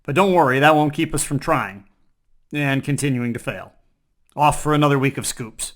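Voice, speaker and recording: Higher-voiced man reading mock news. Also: fairly bright tone. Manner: mock-serious newsreader delivery. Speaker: host and news anchor. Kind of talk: scripted comic mock newscast.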